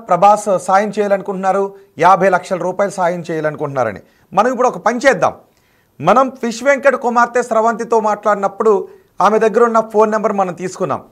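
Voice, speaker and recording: A male news anchor delivering studio commentary, indignant and confrontational as he addresses someone directly. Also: high-pitched.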